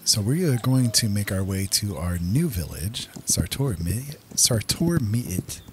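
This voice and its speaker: Low-voiced man doing casual game commentary. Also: expressive, unhurried, noisy background. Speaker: gaming video host.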